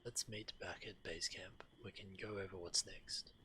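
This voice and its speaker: A man's voice: deep voice, fairly even intonation, normal speed, sharp tone, in a whisper. A man who streams games, reading quest text aloud and commenting as he plays. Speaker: game streamer. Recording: game music behind the voice. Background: video game music.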